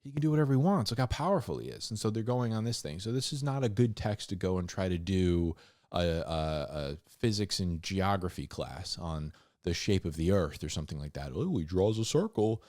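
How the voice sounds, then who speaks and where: A man hosting a Bible reading, mixing scripture with informal, slangy commentary and animated asides. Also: deep voice, brisk, no room sound, studio quality. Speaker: host of a Bible reading show.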